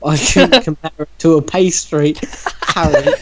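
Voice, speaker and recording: A man's voice: a little high, steady tone, normal speed, heavy background noise. A man casually reading a story aloud to friends, bantering and breaking in with sceptical, teasing comments. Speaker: reader in a group reaction show.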